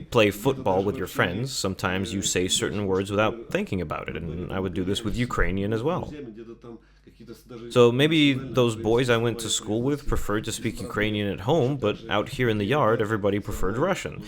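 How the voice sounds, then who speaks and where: A man being interviewed, telling his story in a measured, matter-of-fact way. His voice sits somewhat low and steady. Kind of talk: personal recollection in an interview.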